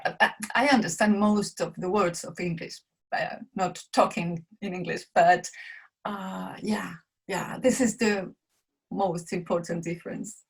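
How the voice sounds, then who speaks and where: Slow-speaking woman. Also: on the low side, fairly even intonation, vocal fry, breathy edge. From Chile. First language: Spanish. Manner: halting, searching for words in a second language. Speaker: guest. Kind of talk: casual interview chat.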